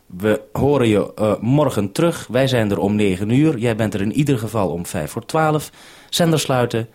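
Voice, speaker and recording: A Dutch radio producer speaking on a radio link, calm and orderly, repeating notes back before signing off. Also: male voice, on the low side, normal speed. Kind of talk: radio call, businesslike. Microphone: radio transceiver.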